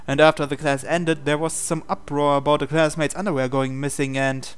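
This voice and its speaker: A man reading visual novel dialogue aloud in character, with a hesitant, halting delivery. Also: fairly high pitch, little pitch movement, clear, resonant tone. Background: game music.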